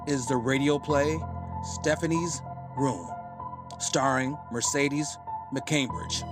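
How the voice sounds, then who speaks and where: A man with a mid-pitched voice introduces a podcast as its host. He takes his time, speaking very slowly in short phrases with pauses between them, while music plays clearly behind him. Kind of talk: podcast introduction, semi-scripted. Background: music bed.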